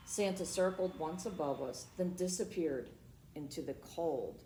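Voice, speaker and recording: A woman reading a children's picture book aloud as storytime host, expressive and dramatic, pausing for asides and questions to her young listeners. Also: low-pitched. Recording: roomy echo, noticeable background noise.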